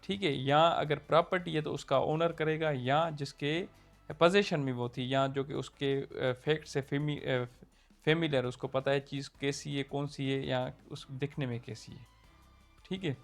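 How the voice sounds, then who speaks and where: Man, Pakistani Urdu accent, law lecturer, conversational, frequent check-ins, gravelly. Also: on the high side, steady tone, unhurried, faint music behind. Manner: conversational, checks in with "theek hai". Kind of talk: explanatory legal lesson.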